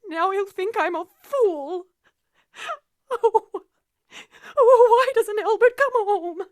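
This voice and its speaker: Casting: frantic bedridden woman, 1940s radio thriller, extremely high voice, swooping intonation, fretful and imperious. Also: expressive, a little mellow, heavily acted. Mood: agitated, pleading. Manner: fretful, imperious, breathless halting phrasing. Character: nervous, demanding bedridden invalid woman, self-pitying and frantic.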